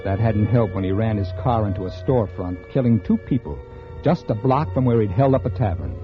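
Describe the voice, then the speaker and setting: An actor playing a vain, cynical mystery novelist in 1950s radio drama, narrating and talking curtly and condescendingly. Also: male voice, lowish voice, expressive, a little fast, lightly acted. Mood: cynical, brooding. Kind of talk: 1950s American radio drama acting, first-person narration. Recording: muffled vintage radio recording, music behind.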